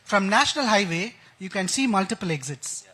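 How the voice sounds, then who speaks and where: Male Indian-accented expert, high voice, keen explainer with plenty of jargon, conference interview. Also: animated, fairly quick, sharp tone. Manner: enthusiastic, uses analogies and technical jargon. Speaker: expert guest, educator. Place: conference stage.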